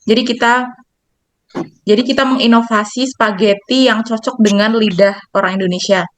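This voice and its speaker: A woman's voice: a little high, steady tone, moderate pace, dry recording. A young woman student presenting her group's product in class, reading slides and repeating her points. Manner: reads slides, repeats herself.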